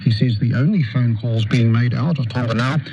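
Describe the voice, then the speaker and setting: A man reads a scripted news bulletin in a New Zealand accent. His voice sits at an average pitch. It comes over a muffled shortwave signal with clear static noise. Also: normal speed, mellow. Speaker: radio news reader. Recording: noisy, dull shortwave radio reception.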